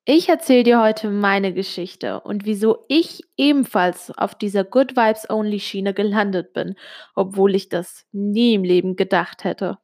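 A woman's voice: mid-range voice, measured pace, darkish tone. A young woman from Switzerland, Italian her first language, telling a personal story straight to the listener in a confiding, casual way with many small fillers. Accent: Italian-Swiss German speaker with little Swiss accent. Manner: confiding, addresses the listener directly, frequent fillers. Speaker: vlogger.

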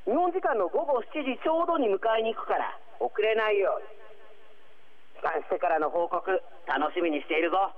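A man's voice: high voice, lively intonation. A man playing a formal, authoritative alien commander in a radio drama, heard through a radio transmission filter. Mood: commanding. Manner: authoritative, formal, measured orders. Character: alien commander, formal superior praising his agent. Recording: thin, tinny radio-transmission sound.

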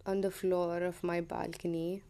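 A woman's voice: lowish voice, flat delivery, a little slow, gravelly, warm tone. A young woman from India with an Indian English accent that drifts toward American, hosting her own podcast in a hesitant, personal monologue full of ums. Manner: hesitant, many ums and self-corrections. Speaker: podcast host.

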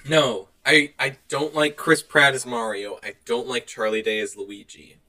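Bright-voiced, blunt man chatting casually. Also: medium pitch, little pitch movement, measured pace, rough recording. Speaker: podcast co-host. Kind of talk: casual chat about movies.

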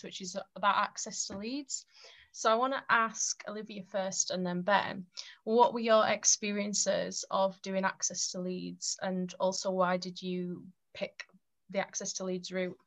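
A young woman hosting a student podcast, reading a clear, measured introduction and putting questions to guests. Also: medium pitch, a bit bright. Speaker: podcast host. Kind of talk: scripted podcast introduction and interviewing.

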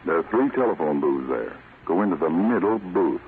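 A man's voice: sits low, expressive. A man playing a terse, authoritative counter-spy chief in a 1940s radio drama. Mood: grave, businesslike. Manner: terse, authoritative, deliberate instructions. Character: commanding male American counter-spy chief, brisk and secretive. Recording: tinny, noisy vintage radio recording.

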